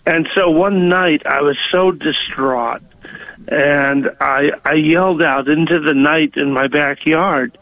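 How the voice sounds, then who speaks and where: A man's voice: a little high, fairly even intonation, takes it slowly, no room sound. A man calling in to a radio show, telling a personal story with many hesitations and ums. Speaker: caller. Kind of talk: call-in testimony. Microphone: telephone. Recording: tinny phone line.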